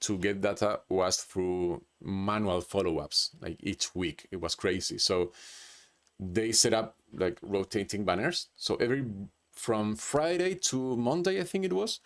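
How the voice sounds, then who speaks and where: A man's voice: fairly low pitch, a little slow. Hesitant man with a Chilean accent. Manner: hesitant, with many restarts and "like". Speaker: presenter. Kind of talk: unscripted business presentation.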